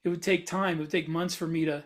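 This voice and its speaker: A man's voice: fairly high pitch, steady tone, quick pace. A man co-hosting a podcast, chatting in a casual, joking, conversational way. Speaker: podcast co-host, fiction author. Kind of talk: casual panel chat.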